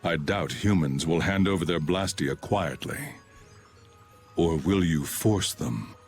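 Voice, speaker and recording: Deep, aloof, solemn antagonist. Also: male voice, takes it slowly, faint music behind. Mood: dismissive. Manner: grave, formal. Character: cold, aloof, solemn male antagonist scornful of humans. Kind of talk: English video game voice acting.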